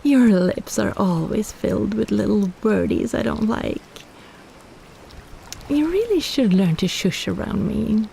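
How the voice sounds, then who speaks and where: A woman voicing a possessive, flirtatious female kraken in a romantic roleplay audio, teasing in a sultry, playful, unhurried way with sighs. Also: medium pitch, expressive, creaky, warm tone, slight background noise. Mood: smug teasing.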